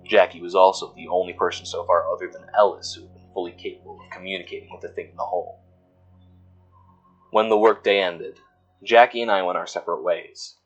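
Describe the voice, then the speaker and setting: A man reading a scripted first-person horror story as narrator, in a measured storytelling manner. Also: sits low, steady tone, low-quality recording. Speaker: narrator of a fictional horror audio log. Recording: music bed under voice. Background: background music.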